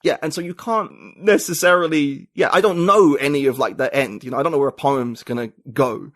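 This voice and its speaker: A man's voice: on the high side, fairly quick, a bit bright, no echo, studio quality. A man, a writer and podcaster, talking as an interview guest, reflective and thinking aloud with many fillers. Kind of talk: casual interview conversation.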